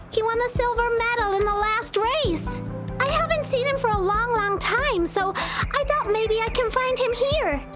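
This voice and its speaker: A woman voicing a trusting, pleading little girl who misses her father, in a video game. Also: very high-pitched. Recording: music behind the voice. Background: background music.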